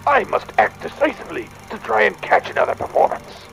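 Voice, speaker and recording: A man playing a fussy, excitable Victorian gentleman inventor, speaking a pompous, wordy audio diary in a theatrical drama. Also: sits high, fairly quick, creaky, faint music behind, heavily acted, poor audio. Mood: pondering. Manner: pompous, wordy, hesitant musing.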